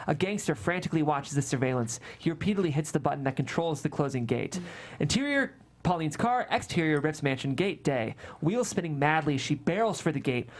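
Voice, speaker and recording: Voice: medium pitch, animated, a little fast. A narrator reading scripted screenplay stage directions aloud at a table read.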